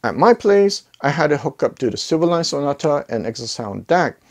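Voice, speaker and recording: A man's voice: fairly high pitch, big pitch swings, fairly quick. A middle-aged man hosting a casual product review to camera, talking in a conversational, emphatic way into a lapel mic. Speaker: host of an audio gear review channel. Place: living room listening space with acoustic wall panels. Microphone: clip-on lapel mic.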